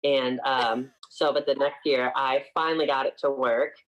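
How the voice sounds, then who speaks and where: A man's voice: mid-range voice, fairly even intonation, normal speed, muffled recording. A man in his twenties from upstate New York, a singer chatting casually as an interview guest and filling his talk with frequent "like"s.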